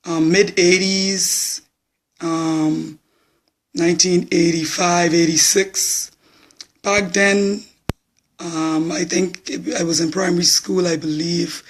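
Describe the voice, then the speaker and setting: Hoarse bahamian man, forties, high gravelly voice, slow blunt talk. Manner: blunt, plain-spoken. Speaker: political supporter. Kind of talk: live social media talk.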